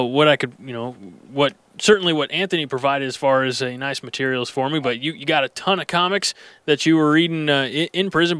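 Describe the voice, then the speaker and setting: A male host with a smooth, resonant voice, pitched a little higher than most men. He asks long, halting questions full of restarts and fillers. The recording is close and dry, with no room sound. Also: a bit bright, dry recording. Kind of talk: interview questions.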